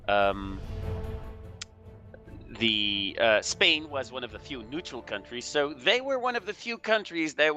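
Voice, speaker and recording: A man giving casual game commentary on history, halting as he searches for words. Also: mid-range voice, pushed and bright. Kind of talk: casual historical chat over gameplay. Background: music.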